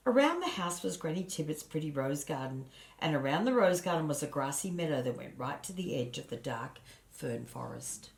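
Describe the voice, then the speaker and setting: Older female storybook narrator, low register, grandmotherly and expressive, speaking directly to children. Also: normal speed, vocal fry. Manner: grandmotherly, addresses listeners and points out pictures. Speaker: storybook reader.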